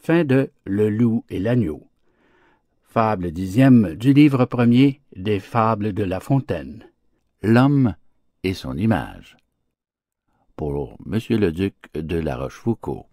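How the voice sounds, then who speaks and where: A man reads verse fables like an audiobook narrator. His voice is low and swings widely in melody, with a measured, theatrical delivery in a dry recording. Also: lively intonation, warm tone, no echo.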